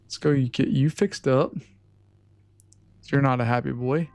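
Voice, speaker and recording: A man with a hoarse, fading voice giving casual commentary on his own strategy game, chatting to his units as he plays. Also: mid-range voice, fairly even intonation, measured pace, a little mellow. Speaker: gaming channel host.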